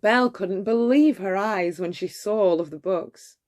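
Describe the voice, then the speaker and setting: A woman reading a bedtime story aloud in an expressive, measured way. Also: mid-range voice.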